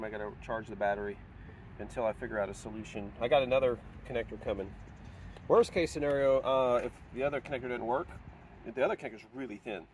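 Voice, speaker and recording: A man's voice: medium pitch, vocal fry, noisy background. A middle-aged man and father, chatting upbeat as he explains a hands-on DIY project. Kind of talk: casual hands-on project narration.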